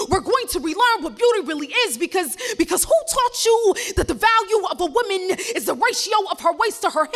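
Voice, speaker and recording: A woman's voice: very high voice, a bit bright. A young woman from Ghana, a spoken word poet, talking to a live event crowd and then performing a poem with a rhythmic, impassioned delivery and repeated words. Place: stage at a live campus event.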